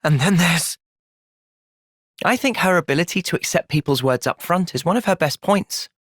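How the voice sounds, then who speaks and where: An actor with an English accent playing an earnest, gentle young soldier in a video game cutscene, speaking in a measured, sincere way. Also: male voice, fairly high pitch, expressive, dry recording, clean studio sound. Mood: calm, resolute.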